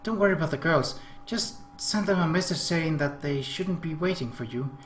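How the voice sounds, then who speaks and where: A man voicing a cute, gently coaxing teenage schoolgirl from a visual novel. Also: fairly high pitch, normal speed, voice pushed up, close to an everyday voice. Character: cute, soft-mannered teenage schoolgirl love interest. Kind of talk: visual novel read-aloud character voice. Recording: roomy echo, faint background noise.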